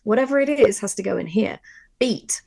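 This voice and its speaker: A woman's voice: medium pitch, animated, brisk, vocal fry, dark tone, breathy edge, no room sound. A woman teaching a workshop in a conversational, informal way, speaking emphatically and insistently. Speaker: audio drama writer and workshop presenter.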